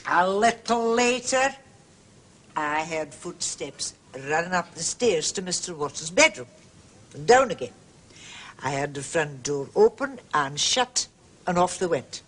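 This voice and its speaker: An actress playing a prim, gossipy and indignant landlady in a period mystery drama, husky and emphatic, forever justifying herself. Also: on the low side, lively intonation, unhurried. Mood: confiding. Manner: emphatic, self-justifying, repetitive.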